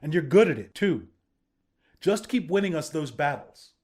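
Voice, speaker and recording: An actor playing an earnest, friendly young rebel leader in a video game, speaking in a sincere, conversational way. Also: male voice, a little high, very animated, normal speed, a little mellow. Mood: amused. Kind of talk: video game character dialogue.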